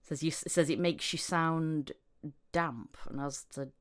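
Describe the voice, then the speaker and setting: A woman's voice: sits low, fairly even intonation, a little slow, smooth. A woman podcast host and voice actor chatting casually, telling things in an anecdotal way. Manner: anecdotal, acting out reported conversations.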